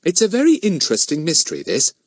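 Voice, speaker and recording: Man doing a sensible schoolboy, raised pitch. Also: huge pitch swings, fast talker, no room sound, clean studio sound, heavily acted. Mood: pondering. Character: sensible, matter-of-fact schoolboy sleuth.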